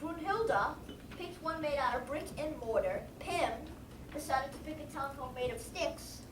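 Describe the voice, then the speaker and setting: A young female storyteller , played by a student, narrates a stage fairy tale in a high, swooping voice. She is chatty and giggly. The recording is distant, with loud noise around her. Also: lively intonation, moderate pace, roomy sound. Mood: playful. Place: stage in a small venue, recorded from afar.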